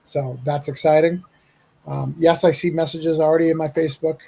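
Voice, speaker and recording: A man with a husky, gravelly voice hosting a casual livestream, talking informally and in halting pieces. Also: fairly high pitch, flat delivery, mellow. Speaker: livestream host and comic project organiser. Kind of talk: casual livestream announcement and chat.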